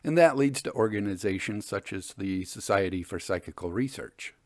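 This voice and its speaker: A man hosting a storytelling show, reading scripted narration and old ghost tales in a measured, deliberate way. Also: lowish voice, lively intonation, no echo, clean studio sound. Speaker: podcast host and storyteller.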